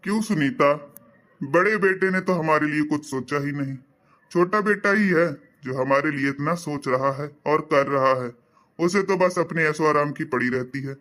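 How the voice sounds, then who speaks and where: A man's voice: a little high, moderate pace, fairly bright tone, lightly acted. An actor voicing a tired older father in a cartoon, speaking in a weary, grumbling way.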